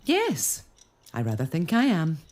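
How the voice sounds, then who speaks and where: A woman's voice: sits low, wildly swooping pitch, a little slow, darkish tone, exaggerated voice. A determined castle lady. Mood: thoughtful. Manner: probing, wry, earnest. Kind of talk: period audio drama acting.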